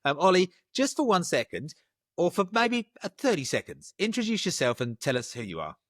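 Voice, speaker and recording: A man's voice: high-pitched, very animated, a little fast, a bit bright, no room sound, studio quality. A middle-aged man hosting a casual interview podcast, enthusiastic and a little rambling, often restarting his sentences. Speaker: podcast host.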